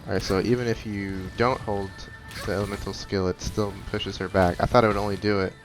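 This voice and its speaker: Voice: low-pitched, flat delivery, fairly quick. A gaming commentator talking casually, muttering to themselves while playing. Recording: game music behind the voice.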